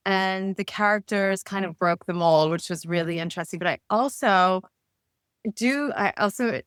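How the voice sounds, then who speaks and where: A woman's voice: mid-range voice, moderate pace, fairly bright tone, clear, resonant tone, no echo, clean studio sound. A woman speaking enthusiastically and effusively as a guest author in a casual interview.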